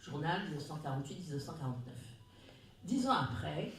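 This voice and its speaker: Round-table speaker, measured formal reading, far from the mic, booming room. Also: big pitch swings, fairly bright tone, slight background noise. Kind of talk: reading a prepared talk. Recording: very echoey room, distant mic.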